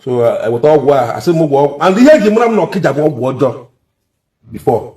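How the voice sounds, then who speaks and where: A man's voice: fairly high pitch, big pitch swings, racing, dark tone, far from the mic. A man being interviewed as a native doctor, speaking in a matter-of-fact way and dropping English words into his answers.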